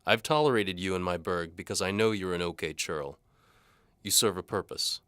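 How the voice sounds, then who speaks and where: A man's voice: low voice, normal speed, a bit bright, clean tone, no echo, pitched above the performer's own voice. A man playing a stern, exasperated small-town sheriff in a medieval audio drama, with music behind him. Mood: stern warning. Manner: exasperated, warning.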